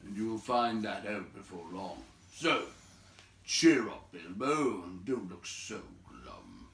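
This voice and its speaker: A man voicing a brisk, bossy old wizard from a storybook, with an emphatic, scolding and teasing manner. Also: on the low side, very animated, vocal fry, a bit bright, echoey room, recorded from a distance, full character voice. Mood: brisk, exhorting.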